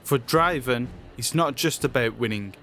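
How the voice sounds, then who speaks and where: A man's voice: mid-range voice, moderate pace, fairly bright tone. A man presenting a game character showcase in a scripted promotional narration style.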